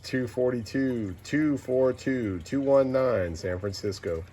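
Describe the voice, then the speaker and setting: A man talks as a CB radio operator, rattling off clipped callsigns and numbers between bits of casual slang. His voice sits at an ordinary pitch under loud radio static and noise. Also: slow pace. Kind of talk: casual CB radio skip talk, calling callsigns.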